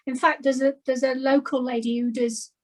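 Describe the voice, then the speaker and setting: A woman's voice: sits high, flat delivery, moderate pace. A woman author as an interview guest, self-deprecating and chatty, with frequent hesitant restarts. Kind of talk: casual conversational interview.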